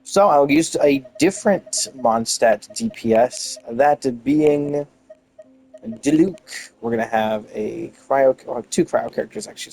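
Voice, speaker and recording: A man's voice: mid-range voice, vocal fry, darkish tone, slight background noise, rough recording. A man giving casual, rambling and playful commentary as he plays through a video game. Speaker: gameplay streamer narrating a playthrough. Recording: music playing behind the voice. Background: video game music.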